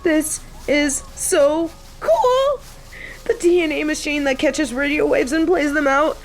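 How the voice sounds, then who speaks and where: A young woman playing an excitable, sassy biker and machine nerd on a date in a romantic audio roleplay. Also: a little slow, poor audio. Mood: gushing, excited. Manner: gushing and teasing, swinging between squeals and low deadpan. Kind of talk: romantic audio roleplay, scripted monologue to an unseen listener.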